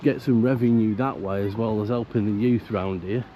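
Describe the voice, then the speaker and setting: A man narrating a casual walk around a park fishing lake, croaky from illness, chatty and grumbling about the council. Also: on the low side, fairly even intonation, normal speed, muffled recording. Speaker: angler vlogger. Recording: outdoor camera audio with faint background noise.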